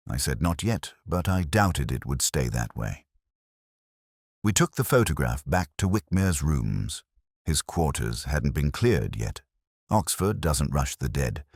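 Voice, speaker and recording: A man playing an ageing, wry, brooding detective inspector who narrates a scripted mystery in measured, literary phrases. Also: very deep voice, dry recording, clean studio sound, exaggerated voice.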